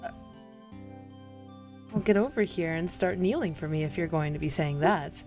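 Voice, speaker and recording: A woman's voice: deep voice, moderate pace, a little mellow. A woman with a teasing, bawdy manner, joking casually with friends as she streams a game. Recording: music behind the voice.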